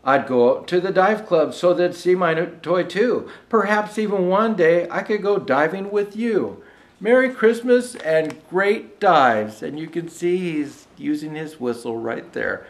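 Man playing high-voiced old Santa, echoey room. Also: lively intonation, unhurried. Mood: chatty aside. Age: older. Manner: gentle storyteller, showing pictures to listeners. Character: jolly old Santa Claus reading a storybook. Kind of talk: rhyming storybook read aloud with chatty asides. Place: indoor room set with fireplace and tree.

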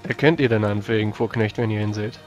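A man's voice: lowish voice, fairly even intonation, fast talker, soft background music. A man playing a character in a tabletop role-playing game, with a dry, sceptical way of talking. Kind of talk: in-character improvised dialogue.

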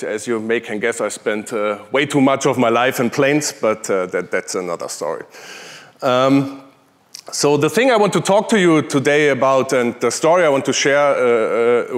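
A man's voice: mid-range voice, moderate pace. A man in his forties with a German accent, giving a conference keynote on a large hall stage through a headset mic, chatty with many fillers and restarts. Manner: conversational, with frequent fillers and restarts. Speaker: conference speaker, tech executive.

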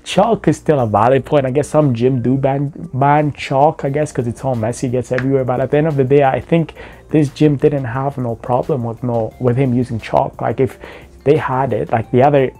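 A man's voice: mid-range voice, steady tone, normal speed, mellow, faint music behind. A young man hosting a reaction video, talking in a laid-back, mocking way with lots of slang, at a webcam. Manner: laid-back, mocking, says bro often. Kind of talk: casual commentary.

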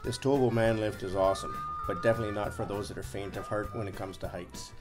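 A man's voice: lowish voice, steady tone, fast, loud music behind the voice. A man giving a casual, folksy running commentary as a vlog host, explaining as he goes.

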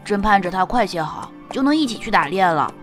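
A woman's voice: medium pitch, animated, brisk, music in the background, natural voice. A woman playing an eager, mischievous young boy in a historical audio drama. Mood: eager, concerned.

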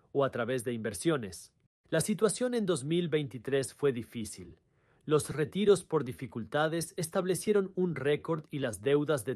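A man's voice: mid-range voice, a little mellow, dry recording. Dry, close-recorded man explaining things. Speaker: host of a personal finance explainer video. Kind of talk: scripted explanatory narration, dubbed into Spanish.

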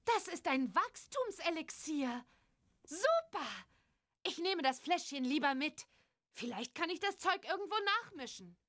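A woman voicing a curious young witch girl in a children's cartoon adventure game, with clear, expressive storytelling delivery. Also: sits high, unhurried, exaggerated voice. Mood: curious, eager.

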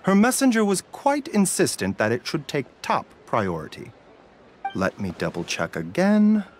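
Courteous, expressive gentleman doctor. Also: a little high, slight background noise. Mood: calm, courteous. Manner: polite, measured, formal phrasing. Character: gentle, courteous, soft-spoken male doctor of herbal medicine. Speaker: video game character. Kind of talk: scripted game dialogue. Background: music.

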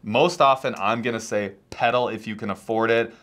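Animated man, casual fishing chat. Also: mid-range voice, moderate pace. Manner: animated, talks with his hands, restarts a lot. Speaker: podcast co-host. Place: small room full of fishing gear, indoors. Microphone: lapel mic.

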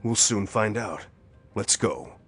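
An actor playing a tough, suspicious police detective, blunt and hard-boiled, in a pulp thriller audio drama. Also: male voice, on the low side, slow pace, a bit bright, breathy edge, faint music behind, heavily acted. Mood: probing, brusque.

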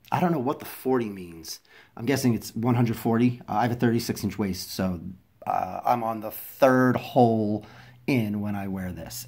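Congested man casually reviewing a product. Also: fairly low pitch. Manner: chatty, many ums and restarts, drops softer for close-up details. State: congested from a head cold. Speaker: fashion vlogger. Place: indoors at home, filming over a carpeted floor.

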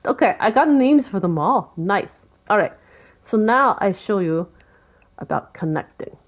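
A woman's voice: mid-range voice, lively intonation, unhurried, muffled recording. A woman with a Boston accent, giving rambling, chatty commentary for a game tutorial. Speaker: game video creator. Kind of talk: casual gameplay tutorial commentary.

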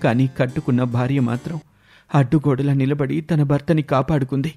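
A man voicing a gentle, sorrowful young husband in an animated story, speaking earnestly. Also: mid-range voice, a little fast, faint music behind, natural voice. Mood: hurt. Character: gentle, dejected young husband, honest and loving. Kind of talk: Telugu animated moral story dialogue.

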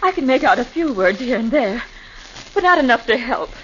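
An actress playing a frightened, grieving widow in a 1940s radio drama, anxious and pleading. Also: female voice, on the high side, sing-song, brisk, fairly bright tone. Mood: distraught. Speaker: victim's wife. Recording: dull old radio recording with faint hiss.

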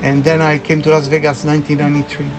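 High-voiced older Belgian man telling stories. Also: completely flat delivery, quick pace. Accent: French-speaking Belgian. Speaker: interview guest, performance painter. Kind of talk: casual storytelling interview. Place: small living area inside a converted bus. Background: music.

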